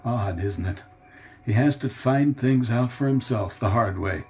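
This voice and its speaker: A male actor's portrayal of a desperate, earnest man in a radio drama, speaking at a middle pitch. He implores in halting phrases, with music playing faintly underneath. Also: a little mellow, close to an everyday voice. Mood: earnest, insistent. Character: desperate grown man pleading with parents and younger self.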